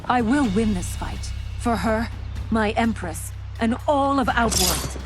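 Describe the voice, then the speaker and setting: A woman's voice: on the high side, expressive, slow, music in the background. A woman playing a loyal young warrior princess in a video game, speaking with formal, earnest composure. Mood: determined. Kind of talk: video game cutscene acting.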